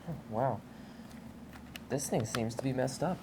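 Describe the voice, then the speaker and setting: Very low-voiced woman, matter-of-fact, background noise. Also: measured pace. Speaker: hobbyist video creator. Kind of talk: casual hands-on commentary. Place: basement. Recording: noisy camera recording in basement.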